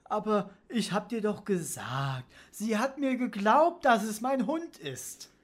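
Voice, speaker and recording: An actor as a whiny, slow, cowardly crook sidekick. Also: mid-range voice, animated. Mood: defensive, nervous. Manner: whining, defensive. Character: whiny, cowardly bumbling animal-thief sidekick. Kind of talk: children's audio drama acting.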